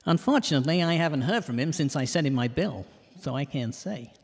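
A man playing a cheeky, self-mocking detective who narrates a comic audio drama in rhyme, landing puns deadpan. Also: fairly high pitch, a little fast, husky. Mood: wry, cheeky. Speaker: narrator and lead character. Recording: reverberant hall sound.

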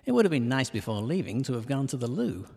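Male narrator, mid-pitched, measured comic rhyming storytelling, recorded with long reverb. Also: big pitch swings, vocal fry, darkish tone, husky. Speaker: narrator of a comic detective podcast. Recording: long reverberant hall echo.

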